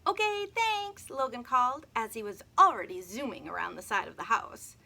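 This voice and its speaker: A woman reading a children's chapter book aloud, addressing young listeners in an expressive storytelling manner. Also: mid-range voice, fairly quick, vocal fry. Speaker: read-aloud host.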